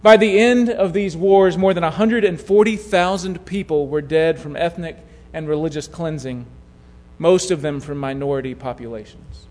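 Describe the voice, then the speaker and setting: A man preaching a sermon, telling a story in a measured way that drops to a hush at key moments. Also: fairly high pitch. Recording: long hall reverb.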